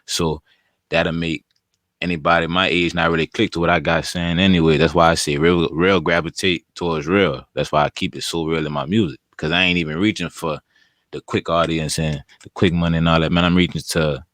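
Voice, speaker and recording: A young man with a deep voice, a rapper talking as a podcast guest in a casual, rambling, laid-back way. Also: fairly even intonation, a little fast, fairly bright tone, no room sound, studio quality. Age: young adult.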